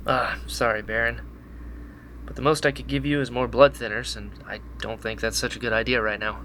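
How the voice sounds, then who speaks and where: A man's voice: mid-range voice, steady tone, brisk, pushed and bright, a little hiss or hum, natural voice, low-quality recording. A man acting a loyal, caring rebel medic in a sci-fi radio drama, earnest and impassioned. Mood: concerned.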